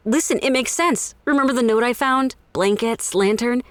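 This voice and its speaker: A woman's voice: a little high, moderate pace, no echo, natural voice. An actress playing an earnest, inquisitive female office assistant in an audio drama, speaking conversationally. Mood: earnest, conspiratorial. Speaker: office receptionist.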